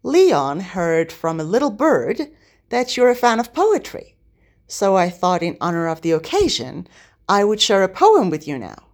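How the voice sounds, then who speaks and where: A woman's voice: mid-range voice, sing-song, measured pace. A woman voicing a sly, playful male knight in a story, with a mischievous, mock-formal courtesy.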